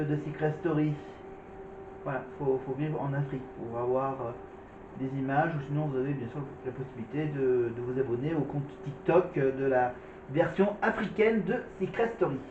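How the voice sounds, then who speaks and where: A man hosting a casual vlog, commenting on clips with many fillers and restarts. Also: a little high. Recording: echoey, distant, phone-like with noise.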